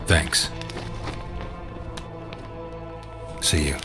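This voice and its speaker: An actor playing a stoic, dry-witted monster hunter in a video game, speaking tersely in a deadpan manner. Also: male voice, a little slow, over music, natural voice. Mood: dry, unimpressed. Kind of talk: video game dialogue acting.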